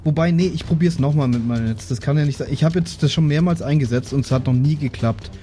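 Mellow man chatting at a game table. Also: fairly high pitch, fast talker. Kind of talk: casual game-table chat. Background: background music.

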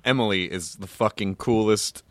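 A man's voice: on the low side, normal speed, no room sound. A man hosting a casual interview, thinking aloud as he circles toward his questions. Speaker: podcast host, interviewer.

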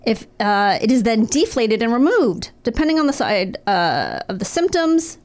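A woman's voice: fairly high pitch, normal speed. Woman co-host, sardonic and profane, reads medical notes aloud while recovering from throat surgery. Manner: wry, profane, deadpan asides while reading. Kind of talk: casual chat, reading medical info aloud.

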